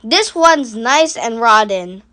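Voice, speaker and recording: A sly, grumbling storybook grouch played by a woman, high-pitched and bright. The delivery is slow and dismissive. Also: expressive. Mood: grumpy.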